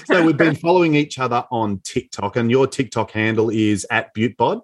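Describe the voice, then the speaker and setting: A man hosting a podcast interview, his voice pitched in the ordinary middle range. He talks enthusiastically and bluntly, hyping up his guest and swearing casually. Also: normal speed. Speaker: podcast host.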